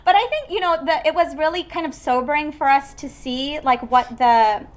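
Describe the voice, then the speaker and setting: High-voiced woman storyteller. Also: moderate pace. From Chicago, United States. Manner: animated storyteller, acts out dialogue. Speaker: guest, company co-founder. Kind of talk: casual interview chat.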